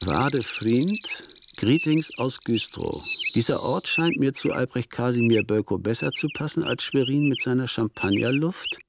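A man's voice: mid-range voice, animated, a little slow, gravelly, few pauses, very noisy. A man playing a wry letter writer reporting from a small town in a radio play. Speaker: actor reading a traveller's letter. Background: music.

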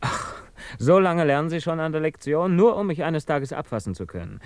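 A man's voice: on the high side, big pitch swings, normal speed, clean tone, no echo. An actor in a German radio drama playing a young male scientist, guilt-ridden and defiant, with an intense, philosophical manner. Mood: wary, restless.

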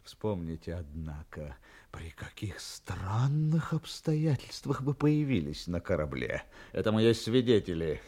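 An actor playing a cold, mocking ship's master in an audio drama, deliberate, sardonic and threatening. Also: male voice, sits low, very animated, dark tone, exaggerated voice. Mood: sardonic menace.

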